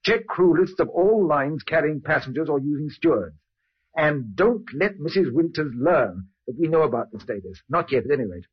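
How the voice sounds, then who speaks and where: An actor playing a courteous, probing police inspector in an old-time radio drama, questioning politely and deliberately. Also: male voice, fairly high pitch, animated, husky. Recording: muffled old radio recording.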